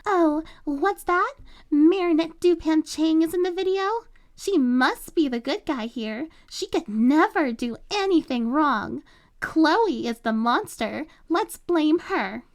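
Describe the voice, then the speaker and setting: A woman voicing a spoiled, scheming teenage rich girl with a high, whiny, sneering voice. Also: lively intonation, slow, heavily acted. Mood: mocking. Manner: sneering, self-pitying.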